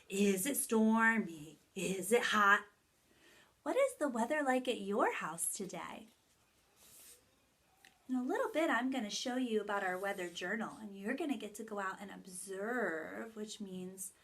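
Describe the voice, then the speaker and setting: A woman leads a story time for young children as their teacher. Her voice is fairly high and very lively in pitch, and she takes her time. She talks directly to the children in an animated, sing-song way. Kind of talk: children's story time lesson with songs and read-aloud.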